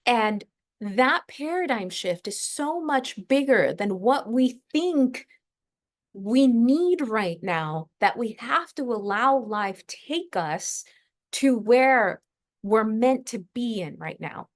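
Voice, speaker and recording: A woman hosting an astrology podcast, speaking unscripted in a reflective, emphatic way with deliberate pauses mid-thought. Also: on the high side, lively intonation. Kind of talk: unscripted spiritual astrology lesson.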